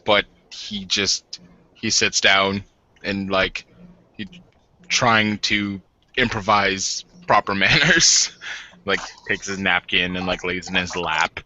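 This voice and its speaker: A man narrates a tabletop game informally, feeling his way through phrases. His voice is fairly low yet very sharp and bright, recorded a little dully. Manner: halting, informal. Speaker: game master. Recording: slightly dull home mic.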